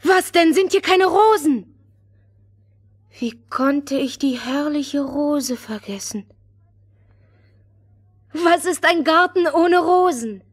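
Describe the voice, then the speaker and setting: A woman's voice: high voice, lively intonation, slow, pauses often, no room sound. An actress playing an earnest, wondering little girl in a fairy-tale audio drama. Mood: dismayed. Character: gentle little girl searching for her lost friend.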